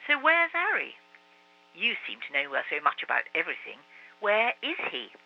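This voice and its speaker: Guy reading doing a high eager kid hero, earnest and jumpy, muffled lo-fi sound. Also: normal speed, creaky, heavily acted. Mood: pleading. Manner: eager, pleading, high and lively. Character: young boy hero, earnest and anxious. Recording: dull lo-fi radio recording.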